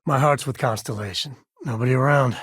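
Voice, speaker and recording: An easygoing American spacefarer and former ranger for a video game. His voice is strongly husky and rough-edged, with a folksy, wry drawl. He sounds like a middle-aged man, recorded very dry. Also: mid-range voice, fairly quick, fairly bright tone, dry recording. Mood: matter-of-fact. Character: easygoing, wry male space explorer and ex-ranger, loyal father. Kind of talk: video game voice acting, naturalistic dialogue lines.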